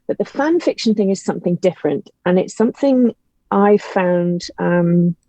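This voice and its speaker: A woman's voice: on the low side, lively intonation, normal speed, dark tone. A woman speaking as an interview guest, giving her opinions emphatically in a conversational way. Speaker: podcast guest, audio drama writer and actor. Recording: dull, lo-fi, roomy call audio.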